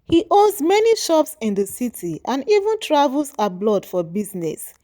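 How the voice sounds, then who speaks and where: A woman storyteller narrating a folktale in a measured, moralizing way. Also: high-pitched, very animated, mellow.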